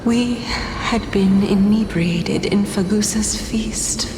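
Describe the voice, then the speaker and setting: Lady doing a mournful noblewoman in a game, slow n solemn, super echoey, music behind. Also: medium pitch, natural voice. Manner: formal, sorrowful, storytelling. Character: mournful noblewoman of a ruined city, solemn, pleading. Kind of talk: video game dramatic monologue. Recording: long echoing reverb under loud music.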